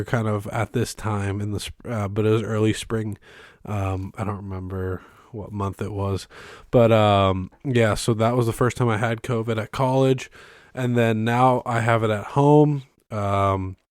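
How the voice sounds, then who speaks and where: Young guy podcasting from his bedroom, kinda low voice, hoarse and stuffed up, lots of ums, dry sound. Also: a little mellow, no room sound. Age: young adult. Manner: rambling, many ums and restarts. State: hoarse and congested. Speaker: podcast host. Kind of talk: casual solo podcast monologue. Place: bedroom used as a studio. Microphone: close microphone, filmed on camera.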